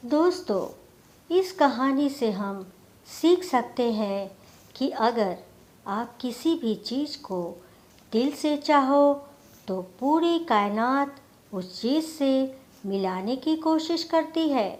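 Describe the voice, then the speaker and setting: A woman's voice: high-pitched, animated, takes it slowly, a little mellow. A woman storyteller reading a scripted moral tale as narration.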